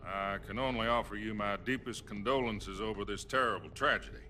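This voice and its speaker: Game casting: American president, firm and formal, bright edge to a lowish voice. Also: male voice. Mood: grave, regretful. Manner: measured, formal, statesmanlike. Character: firm, defensive male American president, solemn and diplomatic. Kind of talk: dramatic video game voice acting. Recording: faint game music behind the voice.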